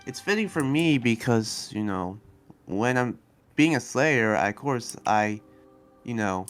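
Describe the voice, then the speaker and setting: A man's voice: medium pitch, lively intonation. Man playing a hesitant demon slayer, music behind. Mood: earnest, explaining. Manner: halting, hesitant, trailing off mid-thought. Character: young male demon slayer and researcher, earnest, hesitant guide. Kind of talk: improvised Minecraft anime roleplay.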